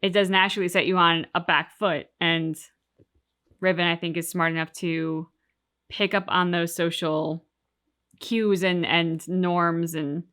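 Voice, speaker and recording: A woman hosting a reaction show, discussing things casually and analytically in measured, articulate phrases. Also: on the low side, fairly even intonation, a bit bright, clean tone. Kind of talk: casual analytical discussion of video game story.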